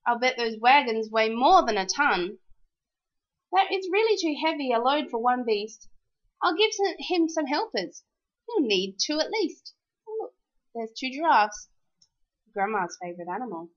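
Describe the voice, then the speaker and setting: Animated, high-pitched woman reading a storybook. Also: unhurried, pauses often, dull audio. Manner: animated, sing-song rhyming delivery. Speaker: storyteller reading a children's picture book aloud. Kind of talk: expressive children's storybook read-aloud.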